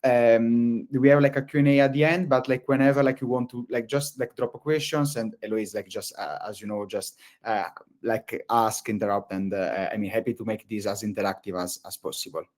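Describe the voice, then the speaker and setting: A man's voice: medium pitch, fairly even intonation, brisk, a little mellow. Italian-accented man presenting with many fillers. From Italy. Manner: rambling, heavy use of fillers and restarts. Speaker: webinar presenter. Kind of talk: live webinar presentation. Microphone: video call.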